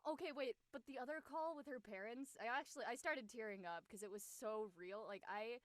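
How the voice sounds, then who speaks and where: A woman in casual chat, expressive and peppering her talk with "like". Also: a little high, brisk, dry recording. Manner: expressive, uses like often. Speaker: talk show panelist.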